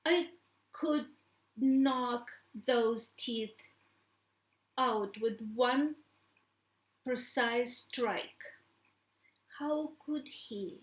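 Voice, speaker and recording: Very slow woman, echoey. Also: fairly high pitch. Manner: halting, stumbling over words. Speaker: author reading own book. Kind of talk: first-person story reading. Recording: echoey room.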